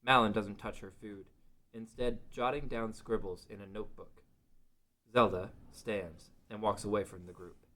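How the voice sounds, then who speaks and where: A man's voice: lowish voice, steady tone, normal speed, bright, forceful tone. A man narrating a scripted fantasy adventure as the narrator of a table read.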